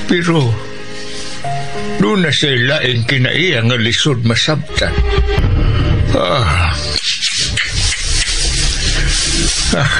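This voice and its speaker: An actor playing a gentle old widower who lives at a dumpsite, speaking hesitantly with a Filipino Bisaya accent in a radio drama. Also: male voice, medium pitch. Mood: fond, reflective. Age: older. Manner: halting, hesitant delivery. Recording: music behind the voice.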